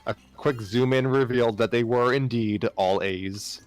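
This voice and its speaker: A man's voice: medium pitch, normal speed. A man narrating fan fiction aloud as an amateur reader, sometimes stumbling and rereading phrases. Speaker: story narrator.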